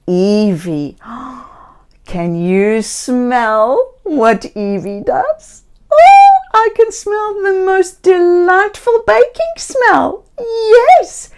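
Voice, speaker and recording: A woman reading a children's story aloud in a gentle, theatrical way, speaking directly to young listeners. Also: on the high side. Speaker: children's story reader and host.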